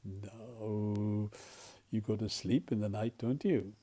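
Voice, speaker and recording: A storyteller reading a children's picture book expressively, asking the listener questions and often dropping to hushed tones. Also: mid-range voice, slow pace, husky. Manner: animated, dramatic, often drops to hushed tones. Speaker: volunteer storyteller.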